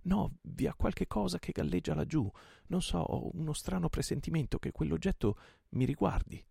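A man with a gravelly voice voicing a passionate male pirate chief in an audiobook, impassioned and commanding. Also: a little high, expressive, normal speed, no room sound, clean studio sound, voice pushed up, heavily acted. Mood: uneasy. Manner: impassioned, shouting orders.

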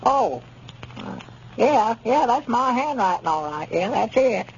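An actor playing a folksy, slow-witted small-town man with a rural Southern accent in a 1930s radio comedy. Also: very high voice, sing-song, husky, exaggerated voice. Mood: flustered, rambling. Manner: hesitant, rambling country talk. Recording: muffled, noisy 1930s radio recording.

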